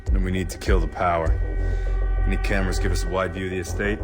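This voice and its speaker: A man plays a hard covert field agent with a low voice, issuing terse, dry commands in video game cutscene style. Music plays clearly behind him. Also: level tone, fast, close to an everyday voice. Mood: focused.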